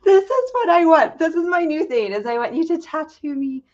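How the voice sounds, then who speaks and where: A middle-aged woman hosting a casual interview, with informal, halting phrasing. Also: very high-pitched, very animated, a little mellow, muffled recording. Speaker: podcast host.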